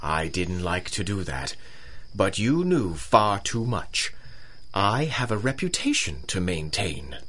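An actor playing an arrogant, villainous big-game hunter in a theatrical 1930s-style radio adventure. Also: male voice, deep voice, sing-song, slow pace, pushed and bright, heavily acted. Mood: menacing. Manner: haughty, theatrical menace.

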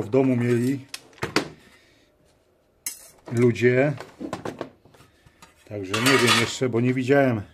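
A man giving casual hands-on commentary, musing aloud in short, halting phrases as he works at a workshop bench. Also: mid-range voice. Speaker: host of a DIY teardown video. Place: workshop at a cluttered metal bench. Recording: noisy workbench with clicks and clatter. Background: tool clicks and metallic clatter.

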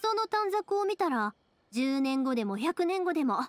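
A woman's voice: high-pitched, big pitch swings, normal speed, no echo. A woman playing a spirited, caring young fireworks maker in a game, speaking reassuringly with a Kansai accent. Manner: reassuring, friendly, earnest. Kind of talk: video game voice acting. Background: background music.

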